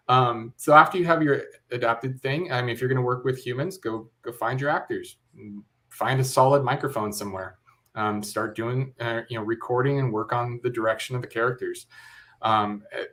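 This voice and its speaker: A man with a mid-pitched voice gives an interview as a director. He explains things step by step in a loose, informal manner, thinking aloud as he goes. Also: fairly bright tone.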